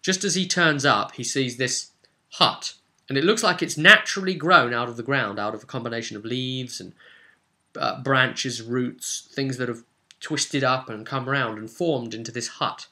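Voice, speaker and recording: A man with a very bright, sharp, forceful voice at a middling pitch tells a tabletop fantasy adventure as its game master. His delivery is dramatic and unscripted, built from vivid present-tense description and short, emphatic phrases. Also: normal speed. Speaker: game master recapping a role-play session.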